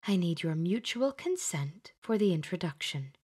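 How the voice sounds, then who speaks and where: A woman voicing a fussy, chattering older witch who plays matchmaker, bossy and brisk in an audiobook. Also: lowish voice, sing-song, a little mellow, husky. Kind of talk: audiobook character voice.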